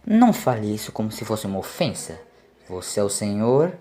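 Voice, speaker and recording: A man voicing a calm, self-assured male adventurer who asks probing questions in an audio story. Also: lowish voice, fairly quick. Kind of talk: audio story character acting.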